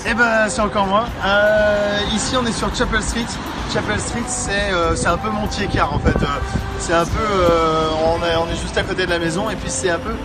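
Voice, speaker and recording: Man, high voice, joking self-made tour guide outdoors in the street, hesitant delivery, loud music behind. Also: fairly even intonation, over a noisy crowd. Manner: jokey, hesitant, halting with frequent pauses. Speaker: self-styled tour guide sending a wedding video message. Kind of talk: casual outdoor video message, playful tour-guide patter. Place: outdoors on city streets and by a river.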